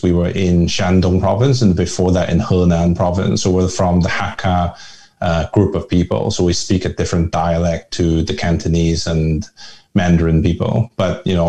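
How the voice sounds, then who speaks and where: A man's voice: deep voice, warm tone. A man from Northern Ireland, a guest investor, telling his story in a reflective, conversational way and often repeating words while he thinks.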